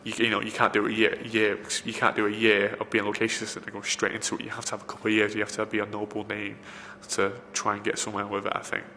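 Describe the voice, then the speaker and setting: A man's voice: lowish voice, completely flat delivery, fast, creaky, hardly any pauses, pushed and bright. A man, a film location assistant, giving rambling interview answers full of fillers and restarts. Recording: reverberant room, faint background noise.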